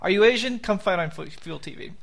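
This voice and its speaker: A man with a notably high-pitched voice chats casually as a podcast co-host. He jokes and teases his partner and laughs at his own gags. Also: expressive, fast talker, vocal fry. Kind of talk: casual sports chat.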